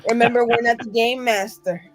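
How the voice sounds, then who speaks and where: A woman's voice: a little high, steady tone, fairly quick, soft background music. A woman chatting casually as a tabletop game player, playful and jokey in her delivery. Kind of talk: casual chat.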